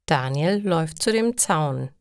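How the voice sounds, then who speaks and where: A woman's voice: sits low, expressive, measured pace, clean tone, dry recording, studio quality. A woman reading scripted, simple stories for language learners in careful short sentences.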